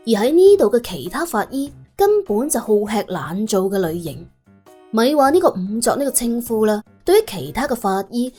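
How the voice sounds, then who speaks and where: A woman narrating an audiobook in animated storytelling style. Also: fairly high pitch, husky.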